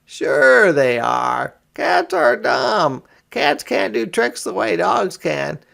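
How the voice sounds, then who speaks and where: A man voicing a boastful, stubborn young boy who talks loudly and jeers. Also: high-pitched, sing-song, slow pace, gravelly, pitched above the performer's own voice, exaggerated voice. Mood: jeering. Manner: loud and jeering.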